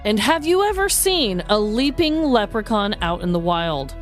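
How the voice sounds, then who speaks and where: A woman co-hosting a podcast with casual, expressive banter in an informal, exclaiming way. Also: medium pitch, normal speed, soft background music. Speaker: podcast co-host.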